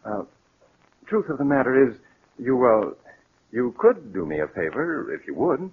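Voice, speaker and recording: A man's voice: mid-range voice, very animated, moderate pace, husky. A man playing a suave, calculating blackmailer in a 1940s radio thriller, courteous on the surface and coldly bullying beneath. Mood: falsely courteous. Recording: old tinny band-limited radio recording.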